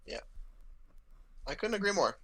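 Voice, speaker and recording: A man's voice: high voice, very animated, measured pace, sharp tone. A man co-hosting a casual podcast who teases his friends playfully. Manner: teasing, playful. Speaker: podcast co-host.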